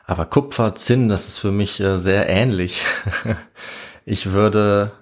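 A man with a fairly low voice hosts a podcast. He talks in a casual, conversational way, as in a relaxed exchange with a co-host. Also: slow.

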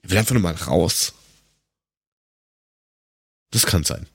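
A middle-aged man chatting casually on a humorous podcast in a halting, wry storytelling manner. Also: low-pitched, animated, gravelly, a bit bright, husky, no room sound, clean studio sound. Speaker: podcast host.

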